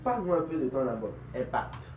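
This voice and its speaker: A man's voice: mid-range voice, big pitch swings, fairly quick, far from the mic. A man working as an interviewer, asking short prepared questions. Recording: echoey, muffled, off-mic, noisy.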